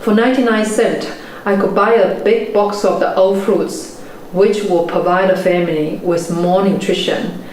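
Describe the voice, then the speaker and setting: A woman in her forties with a Chinese accent, teaching in a measured, earnest way. Her voice sits at an ordinary pitch for a woman. The room around her rings with heavy echo, and a faint noise hums underneath. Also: fairly even intonation, a little mellow. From China. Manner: measured, earnest storytelling delivery. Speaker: property investment mentor. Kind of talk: motivational webinar talk.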